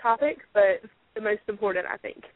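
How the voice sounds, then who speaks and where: A woman's voice: mid-range voice, a little fast, no echo. Friendly woman explaining over a phone line. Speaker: radio show guest. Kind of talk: explanatory talk segment. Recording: dry, tinny phone line.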